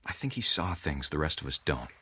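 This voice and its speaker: A man's voice: rumbling low, very animated, a little fast, sharp tone, husky, heavily acted. An actor playing an earnest middle-aged American father in a video game, encouraging but firm. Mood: wistful, tender. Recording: music behind the voice.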